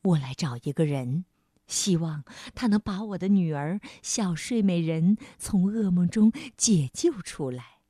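A woman voicing a lost king in a children's story, a polite, earnest father who speaks in a courteous, measured way. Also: lowish voice, lively intonation, warm tone, no echo, clean studio sound.

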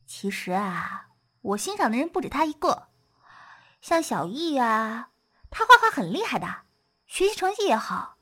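A woman acting an affectionate, eager schoolgirl in a modern audio drama, with an expressive, earnest, girlish delivery. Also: on the high side, unhurried, husky, heavily acted. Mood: thoughtful, admiring. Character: affectionate, eager schoolgirl, devoted to her best friend.

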